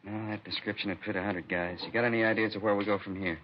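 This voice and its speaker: A gruff police captain played by a man with a low voice and quick, plain-spoken delivery. He sounds like a careful senior officer in a 1950s radio drama, heard through a muffled recording with faint noise. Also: fairly even intonation, natural voice. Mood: probing.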